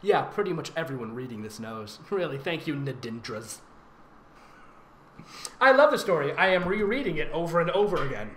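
A man's voice: on the high side, big pitch swings, moderate pace, fairly bright tone. A man hosting a comedic read-aloud of fan fiction, speaking in a theatrical, giggly, sarcastic manner with mocking commentary. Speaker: host, fan fiction reader and voice actor. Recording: roomy echo with faint noise.

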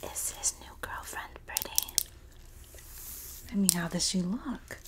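A woman playing a sassy, supportive Jersey girl in an ASMR roleplay, speaking softly and often whispering in a breathy, doting, gossipy way with a New Jersey accent. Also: on the low side, animated. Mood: gossipy, indignant. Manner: slow, gossipy, doting, dramatic emphasis.

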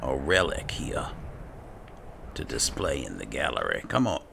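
Un uomo che commenta un videogioco in stile gioco di ruolo, riflettendo ad alta voce nei panni del personaggio, con musica di gioco sotto. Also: male voice, extremely low pitch, very animated, unhurried, creaky, noisy background. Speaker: gaming channel host role-playing as an alchemist. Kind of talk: slow, musing in-character game roleplay commentary. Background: game music.

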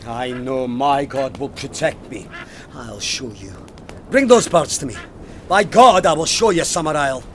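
An actor in an audio drama playing a stubborn, haunted police sergeant, intense, righteous and suspicious. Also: male voice, medium pitch, expressive, measured pace, slight background noise, lightly acted. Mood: righteous, fervent.

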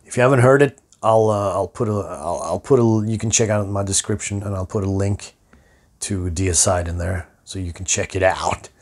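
A hoarse, middle-aged man talks in a low, gravelly, husky voice, rambling loosely and swearing freely. He keeps cutting himself off and restarting mid-thought. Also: normal speed, a little mellow. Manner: rambling, profane, self-interrupting. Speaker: metal musician and vlogger. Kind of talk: casual rant to camera.

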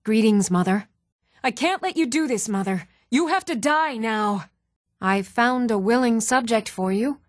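An actress playing an earnest young townswoman and adventurer in a fantasy video game, with a measured, serious delivery. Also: on the high side, lively intonation, dry recording, clean studio sound. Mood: guarded, firm. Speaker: voice actor. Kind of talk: video game character dialogue.

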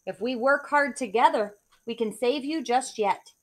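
Woman doing a bright, eager young superhero boy. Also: on the high side, moderate pace, natural voice. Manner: bright, emphatic, lively. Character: eager young male green-caped recycling superhero.

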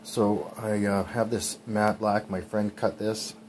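Relaxed low-voiced man narrating. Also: slow, a little hiss or hum. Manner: relaxed, chatty, frequent ums and uhs. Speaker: cycling vlogger. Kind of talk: casual voice-over narration.

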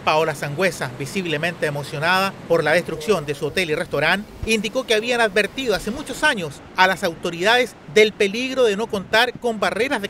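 High-voiced man reading news. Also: slight background noise. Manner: even, measured news read. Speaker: news reporter. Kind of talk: scripted news narration.

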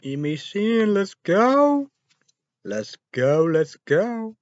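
A man in his thirties hosting a rambling solo online show, reading items out haltingly with repeated phrases and sudden loud outbursts. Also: sits high, sing-song, no echo. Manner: halting, spells words out, repeats phrases, bursts into loud exclamations. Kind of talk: rambling solo vlog reading weather and news headlines. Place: small dim room indoors. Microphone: webcam or laptop mic at arm's length. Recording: dull lo-fi webcam audio.

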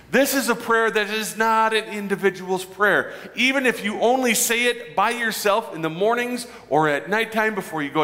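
A man in his forties preaching a conversational sermon as a pastor, animated and joking with his congregation. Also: sits high. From Midwestern United States. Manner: animated, gestures broadly, jokes with the congregation. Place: large church sanctuary. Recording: long church reverb.

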